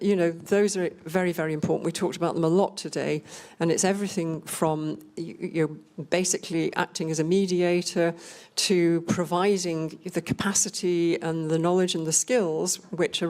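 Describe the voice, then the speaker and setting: An older woman from Scotland giving an unscripted panel talk in a measured, explanatory way with emphatic repetition. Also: fairly low pitch, darkish tone. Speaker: panel speaker on rural communities.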